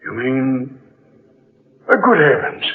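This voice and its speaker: An actor playing a troubled, suspicious sea captain in a halting, weighty old-time radio drama style. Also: male voice, mid-range voice, big pitch swings. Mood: doubtful, pondering. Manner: slow, halting, weighty delivery. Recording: muffled old radio recording.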